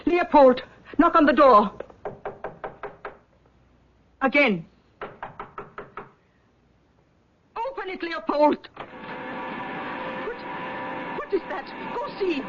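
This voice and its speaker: A cold, suspicious woman guard, speaking very high and sharp, giving orders. The radio drama sounds like a dull, old lo-fi broadcast. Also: sing-song, measured pace, noisy background. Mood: commanding. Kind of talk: 1970s radio drama acting.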